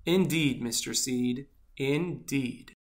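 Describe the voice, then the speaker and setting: A woman voicing a kind, earnest pumpkin seed in a children's storybook, polite, expressive and encouraging. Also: rumbling low, takes it slowly, pitched below the performer's own voice, full character voice. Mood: pleased. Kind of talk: animated children's storybook read-aloud.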